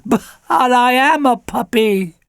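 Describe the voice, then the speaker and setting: A man's voice: extremely high pitch, expressive, slow pace, gravelly, a bit bright, clear, resonant tone, dry recording, studio quality, pitched above the performer's own voice, heavily acted. A man doing a sobbing giant puppy. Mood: pleading. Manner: sobbing, pleading, whimpering. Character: big frightened male puppy hound, tearful.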